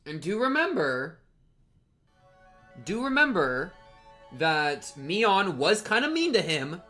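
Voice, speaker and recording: A man's voice: sits high, very animated, slow, pushed and bright, soft background music. A young man giving casual, slangy playthrough commentary on a visual novel and reading text aloud. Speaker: streamer playing a visual novel.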